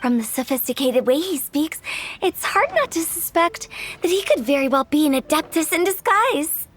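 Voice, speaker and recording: High-pitched perky young lady boss. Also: big pitch swings, normal speed. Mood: proud, chatty. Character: perky, quirky young female business boss, chatty and proud. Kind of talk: video game character acting. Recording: background music under the dialogue.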